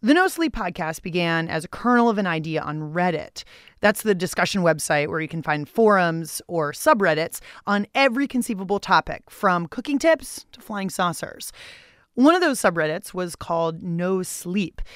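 A woman's voice: fairly low pitch, expressive, normal speed, clear, resonant tone, no room sound, studio quality. An American woman hosting a radio show, reading scripted narration with playful, theatrical emphasis and casual asides.

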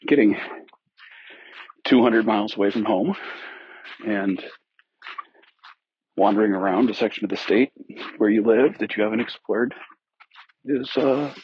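Husky man on a lo-fi recording. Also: on the low side, no room sound. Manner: upbeat, rambling. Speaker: listener caller. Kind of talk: recorded listener message. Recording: dull lo-fi phone recording.

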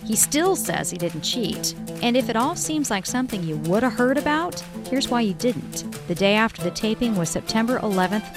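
Woman narrating over loud music. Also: on the high side, very animated, normal speed, hardly any pauses. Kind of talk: scripted narrated history story.

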